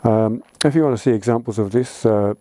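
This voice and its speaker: A man's voice: on the low side, brisk, dark tone, dry recording. A man giving a casual how-to explanation for a vlog in an informal, plain-spoken way. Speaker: vlogger.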